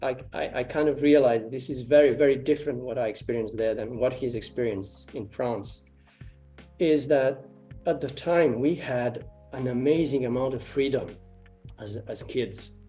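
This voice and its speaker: A man from Romania with a warm, relaxed tone at a middle pitch. He answers interview questions slowly and hesitantly, full of ums and restarted phrases, and faint music plays underneath. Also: low-quality recording. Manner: hesitant, many fillers and repeated starts. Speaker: interviewee, artist and ecology researcher.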